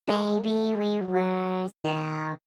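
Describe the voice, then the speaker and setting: A woman's lowish singing voice stretches each word very slowly. The vocal is processed into a robotic, tuned sound for a pop line, with music playing behind it. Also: darkish tone. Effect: robot voice processing with pitch correction. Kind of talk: sung pop vocal, repeated looped phrase.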